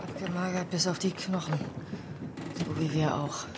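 An actress playing a starving, desperate survivor adrift in a small boat, raw and emotional, in a radio drama. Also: female voice, fairly low pitch, steady tone, normal speed, heavy background noise, lightly acted. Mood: bleak.

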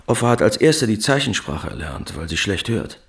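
Low, gravelly, weary father. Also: big pitch swings, brisk. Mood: guarded. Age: middle-aged. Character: guarded, weary working-class father of a missing son. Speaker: actor in a radio drama. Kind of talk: German radio drama acting, subdued realism.

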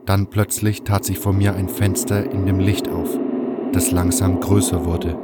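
A man narrating a fan radio play as a dramatic scripted story, with measured, deliberate phrasing and pauses. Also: low-pitched, level tone, heavy background noise. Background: music.

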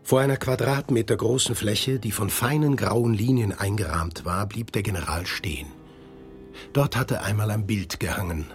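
A serious literary narrator read by a man tells the story of a radio play in a fairly low voice. The delivery is measured and scripted, with faint music underneath. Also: natural voice. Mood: measured, solemn.

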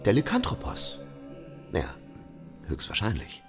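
A man playing an English gentleman investigator in a German radio play, formal and theatrical in his questions and exclamations. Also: fairly low pitch, huge pitch swings, unhurried, faint music behind. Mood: pondering.

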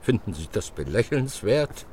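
An actor playing a prickly, pedantic older scientist in a German radio drama, speaking in a precise, abrupt, formal way. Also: male voice, lowish voice, very animated, normal speed, a little mellow, slight background noise. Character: old scientist, curt, prickly, pedantic, lonely man.

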